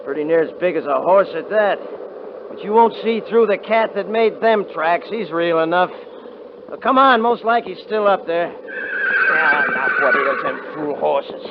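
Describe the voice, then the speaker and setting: An actor playing a hard, cocky young cowboy rancher, blunt and mocking, on an old radio broadcast. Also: male voice, sits high, expressive, measured pace, background noise. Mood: eager, hunting. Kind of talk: 1950s radio drama acting. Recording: tinny 1950s radio broadcast with music.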